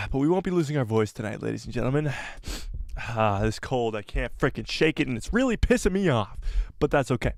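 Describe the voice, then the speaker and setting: A man with a cold, losing his voice, hosting a casual live stream; chatty and excitable, he greets viewers and reads out the chat. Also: mid-range voice, fairly quick, vocal fry, no room sound, studio quality.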